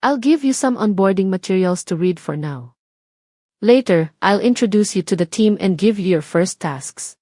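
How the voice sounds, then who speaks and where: Female supervisor, thirties, played by a narrator woman, businesslike, brisk, reserved, for audio drama. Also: mid-range voice, lively intonation, darkish tone, dry recording, studio quality, lightly acted. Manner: formal, businesslike.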